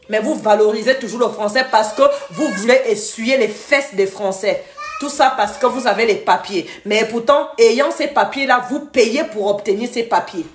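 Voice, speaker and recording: Woman from Gabon, scolding, echoey room. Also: a little high. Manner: scolding, repetitive, switches to English briefly. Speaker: live-stream host. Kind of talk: heated live-stream rant.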